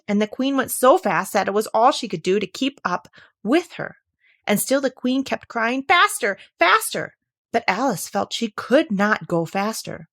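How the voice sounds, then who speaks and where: A woman narrating a classic children's story aloud in an expressive, scripted storytelling style. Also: mid-range voice, no room sound, clean studio sound. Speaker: audiobook reader.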